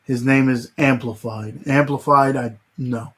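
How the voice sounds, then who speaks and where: A man chatting on a casual livestream at an ordinary pitch, drifting from thought to thought with plenty of fillers and false starts. His voice is wearing thin and turning hoarse. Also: fairly even intonation, a little slow, a bit bright. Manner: rambling, many fillers and restarts. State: voice getting hoarse. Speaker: livestream host.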